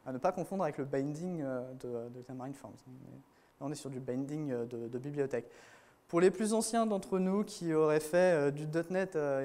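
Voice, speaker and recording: A man's voice: a little high, dark tone. A man giving a casual technical talk to a room of developers at a conference, with many fillers and restarts. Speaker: conference speaker.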